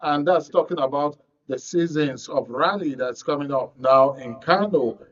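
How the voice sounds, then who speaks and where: A man with a Nigerian accent hosting and moderating a talk show, introducing the next segments in a halting way. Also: on the high side, highs cut off. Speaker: radio and TV host.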